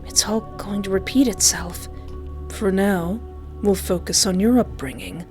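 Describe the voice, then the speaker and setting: Menacing, hushed vengeful ex-boyfriend voiced by a woman. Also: medium pitch, a little slow, faint music behind, lightly acted. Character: menacing, obsessed, vengeful disfigured ex-boyfriend, controlling and cold.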